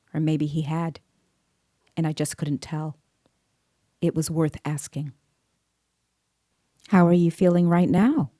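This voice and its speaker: An actress playing a composed, observant female interviewer, narrating an audio drama in a measured, precise way. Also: sits low, warm tone, no room sound, studio quality. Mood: gentle, questioning. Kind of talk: scripted first-person dramatic narration.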